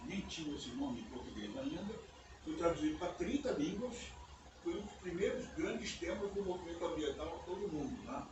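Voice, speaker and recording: An older man, a native English speaker, giving a relaxed conference lecture in a university auditorium, often checking in with his listeners. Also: a little high, brisk. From United States. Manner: conversational, often ends phrases with "né?". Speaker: guest lecturer. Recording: echoey auditorium, distant mic, background noise.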